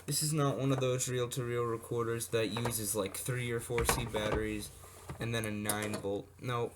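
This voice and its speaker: A man's voice: mid-range voice, steady tone, normal speed. Casual man rambling over a noisy recording. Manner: rambling, off-the-cuff, with asides and self-corrections. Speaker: hobbyist video presenter showing vintage electronics. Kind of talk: casual unscripted product demonstration. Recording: noisy amateur recording with clicks.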